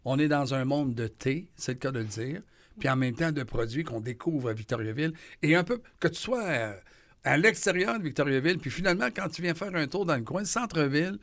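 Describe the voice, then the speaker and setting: A man's voice: fairly high pitch, lively intonation, fairly quick, a bit bright. A man with a Quebec French accent, hosting a morning radio interview in a chatty, enthusiastic, rambling way. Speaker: radio host.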